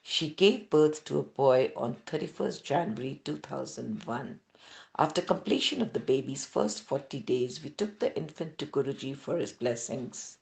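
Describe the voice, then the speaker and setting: A woman with an Indian accent reading a devotional testimony aloud as scripted narration, in an expressive storytelling manner. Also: a bass voice, moderate pace, gravelly, low-quality recording.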